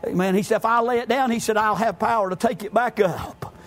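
High-pitched Southern preacher man. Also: a little fast. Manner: exclamatory, rising to shouts, punctuated with amens. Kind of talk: fervent evangelical sermon with scripture reading.

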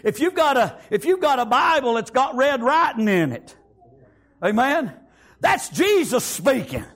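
A male preacher, delivering a shouted, rhythmic sermon full of "praise God" and "amen.". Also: extremely high pitch, very animated, measured pace.

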